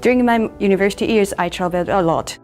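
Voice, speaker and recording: A woman from Japan in her thirties, an English teacher, narrating a class presentation in a measured, explanatory way. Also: mid-range voice, music in the background.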